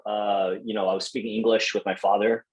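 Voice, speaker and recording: A man's voice: lowish voice, little pitch movement, a little fast, low-quality recording. A young man from Taiwan, a podcast guest, chatting casually and in a friendly way, with lots of fillers and restarts. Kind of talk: casual interview chat.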